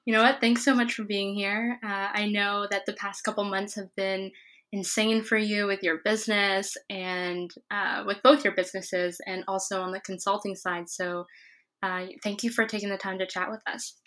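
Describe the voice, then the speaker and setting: A woman's voice: mid-range voice, little pitch movement, moderate pace, fairly bright tone. A woman hosting a podcast interview, opening with an upbeat scripted introduction before chatting with her guest. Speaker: podcast host.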